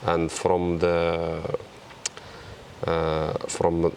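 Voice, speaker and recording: A man with a Moroccan accent, a guesthouse co-owner, speaking halting English and searching for words. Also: low-pitched, monotone, darkish tone, a little hiss or hum. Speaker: hotel co-owner. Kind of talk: casual interview chat.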